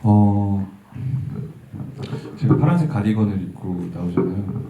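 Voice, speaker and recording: A male actor answers an audience question in a thoughtful, halting way, his pitch rising and falling a good deal. He sounds thin and tinny, picked up from far off in an echoing hall. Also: expressive, slight background noise. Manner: reflective, halting, laughs softly. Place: event hall.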